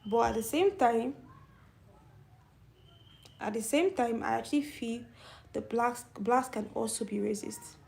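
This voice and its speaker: A woman's voice: fairly high pitch, unhurried, gravelly, pauses often, a little mellow. A middle-aged woman with a young-sounding voice hosting a casual live stream, chatting and answering viewer comments. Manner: youthful-sounding voice. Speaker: channel host.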